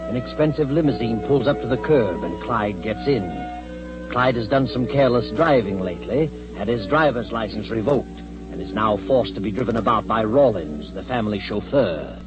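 Guy playing spooky ominous radio mystery narrator, lowish voice, dull vintage sound, music behind. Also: animated, moderate pace, close to an everyday voice. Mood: ominous, knowing. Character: mysterious, ominous all-knowing night-walking male storyteller.

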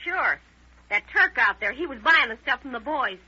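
A woman's voice: high voice, animated, moderate pace, bright, forceful tone, slightly rough, heavily acted. An actress playing a tough, sarcastic gangster's girlfriend in an old radio crime drama, with an American accent and defiant, slangy delivery. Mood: sullen, sardonic. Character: tough, brassy, sarcastic young gangster's girlfriend under questioning. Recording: muffled, scratchy old radio broadcast.

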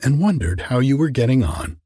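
A man's voice: medium pitch, sing-song, a little fast, mellow, slightly rough, dry recording, clean studio sound, voice pushed up. A man reading aloud and voicing a selfish, sanctimonious miller who talks down to his friend. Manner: sanctimonious, condescending. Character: smug selfish male miller, preachy about friendship.

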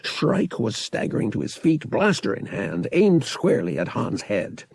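A man reading an audiobook as a dramatic, suspenseful story with expressive delivery. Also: medium pitch, normal speed, gravelly, husky, no room sound. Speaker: audiobook narrator.

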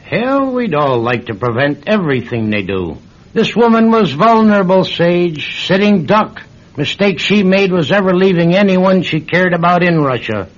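A man's voice: sits high, big pitch swings, normal speed. A man playing a blunt, cynical intelligence boss in a radio drama, speaking in a brusque, assured, colloquial way. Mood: impatient. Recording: dull old-style radio recording.

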